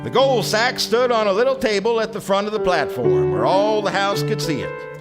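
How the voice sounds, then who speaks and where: A man performing as a wry storyteller, narrating in deliberate theatrical phrases for a live radio play. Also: high-pitched, expressive. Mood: wry storytelling. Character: wry storyteller narrating a small-town satire. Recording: live stage radio recording with music.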